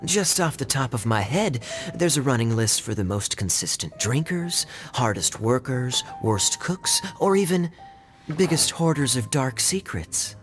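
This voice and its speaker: An actor playing a sly, teasing young knight in a video game dub, smooth and needling. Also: male voice, medium pitch, lively intonation, unhurried, natural voice. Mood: playful. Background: background game music.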